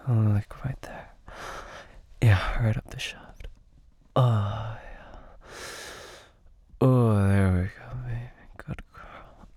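A man doing an intimate audio roleplay, talking in soft whispers broken by low moans and sighs, close to the microphone. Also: fairly even intonation, slow, long pauses, husky, no echo.

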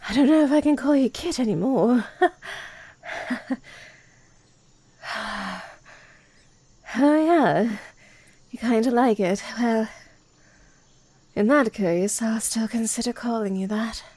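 A woman voicing a teasing, confident adult lover, speaking in a breathy, whispery way. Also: fairly high pitch, a little slow, dark tone, no echo. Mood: playful. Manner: breathy, whispery, moaning delivery. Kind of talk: scripted adult audio roleplay.

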